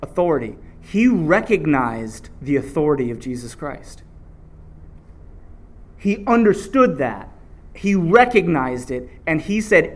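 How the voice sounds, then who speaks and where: A man preaching a sermon, reading scripture aloud and drawing answers back from the congregation. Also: high-pitched. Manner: animated call-and-response preaching.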